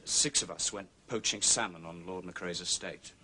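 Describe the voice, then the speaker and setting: An actor playing an agitated, embarrassed upper-class English gentleman in a scrape, blurting and exclaiming in a period radio drama. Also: sits low, normal speed, a little hiss or hum. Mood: awkward, confiding.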